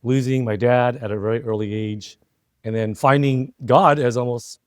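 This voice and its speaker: A middle-aged American man talks as a guest in a relaxed interview, recorded dry and close. His pitch is ordinary for a man. He is reflective and talkative, often turning to his listener for agreement. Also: normal speed, clean tone, no room sound. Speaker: interview guest, entrepreneur and former CEO. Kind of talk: casual conversational interview.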